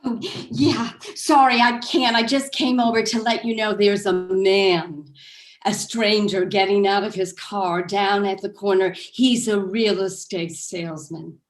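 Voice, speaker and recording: A woman's voice: medium pitch, fairly even intonation, a little slow, natural voice. A woman playing a gossipy, anxious suburban housewife in a staged play reading. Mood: chatty, worried. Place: video call.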